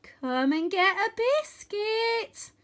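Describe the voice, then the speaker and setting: A woman voicing a nervous, anxious young boy hero in a storybook, often shouting. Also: extremely high pitch, sing-song, very slow, pitched above the performer's own voice, exaggerated voice. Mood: wobbling, nervous.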